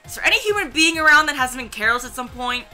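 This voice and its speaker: Casting: woman playing good-hearted, careless grandson in his twenties, grumbling, bright voice, game hero. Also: sits high, animated, very fast, faint music behind, pitched above the performer's own voice, full character voice. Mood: sheepish, defensive. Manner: grumbling, apologetic, casual.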